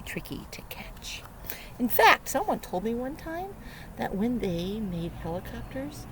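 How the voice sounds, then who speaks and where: Woman reading to children, very animated pitch. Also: background noise. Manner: exaggerated wonder, simple questions to the listener, playful sound words. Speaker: early learning educator reading a picture book aloud. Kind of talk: interactive read-aloud for young children. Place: outdoors beside a lake.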